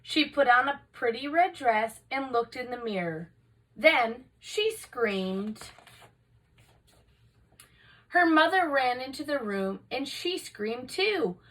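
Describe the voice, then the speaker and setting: A woman reading a children's picture book aloud in an expressive, dramatic storytelling manner. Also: sits high, takes it slowly, far from the mic, poor audio. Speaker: storybook reader.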